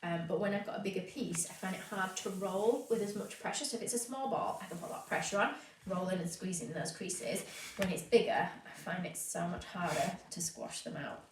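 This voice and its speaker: A woman's voice: medium pitch, brisk, hardly any pauses. A woman with a sniffly, hay-fever nose, chatting casually as she explains her steps in a live home tutorial. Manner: chatty, explains as she works, many fillers. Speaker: cake decorator teaching a live stream. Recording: roomy, distant, faint noise.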